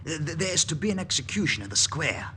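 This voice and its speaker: An actor playing a nervous, officious Nazi checkpoint guard with a German accent and a hesitant stammer, in 1940s radio style. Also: male voice, fairly high pitch, very animated, quick pace, pushed and bright. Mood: flustered. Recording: faintly noisy vintage radio recording.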